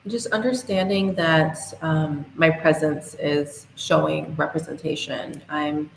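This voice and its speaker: Young woman guest, low and earnest, slightly echoey remote call. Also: steady tone, slow, gravelly. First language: English. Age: young adult. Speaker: podcast guest. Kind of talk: interview answers. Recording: echoey room, faint hiss.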